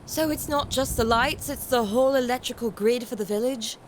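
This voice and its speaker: A woman's voice: on the high side, a little fast, slight background noise, lightly acted. A woman playing an incredulous, take-charge character in an audio drama, insistent and questioning. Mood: incredulous, arguing.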